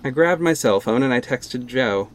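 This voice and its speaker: A man's voice: mid-range voice, expressive, normal speed, a little hiss or hum, natural voice. A man narrating an audio drama in the first person, with a wry, casual storytelling manner.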